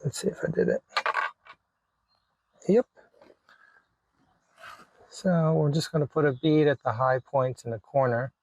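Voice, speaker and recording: A man's voice: a little high, unhurried, gravelly, long pauses, a little mellow, no echo, rough recording. Man casually talking through a DIY job, dry sound. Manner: informal, thinking aloud while working. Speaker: video creator showing a DIY radio install. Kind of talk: casual hands-on vlog narration.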